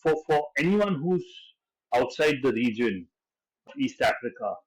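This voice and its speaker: A man hosting an interview podcast, giving brisk scripted introductions and asking questions. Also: on the high side, very animated, breathy edge, poor audio. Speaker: podcast host.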